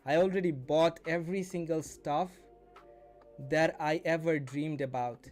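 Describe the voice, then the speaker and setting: A man's voice: a little high, steady tone, unhurried, a little mellow, soft background music. A man in his twenties hosting a casual live stream as a mentor, teaching and chatting to viewers, repeating and rephrasing as he goes. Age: 20s. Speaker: day trading mentor and live stream host.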